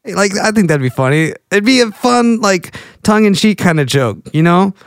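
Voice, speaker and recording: A man's voice: high voice, expressive, moderate pace, smooth, no echo, clean studio sound. A man in his late twenties with a Texas accent, rambling goofily through a casual monologue as a podcast host. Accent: Texan. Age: late 20s. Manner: goofy, rambling, self-interrupting.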